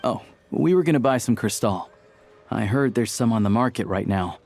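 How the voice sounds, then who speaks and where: An actor voicing an easygoing, practical young hunter in a game dub, casual, friendly and thoughtful. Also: male voice, medium pitch, expressive, moderate pace, vocal fry, a little mellow. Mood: agreeable. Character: easygoing, practical young male hunter doing odd jobs. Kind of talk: English game dub dialogue.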